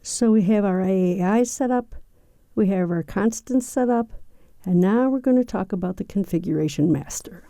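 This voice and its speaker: A woman giving an unscripted, step-by-step software walkthrough in a methodical, explanatory manner. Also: mid-range voice, normal speed, dry recording, studio quality. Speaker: software tutorial presenter.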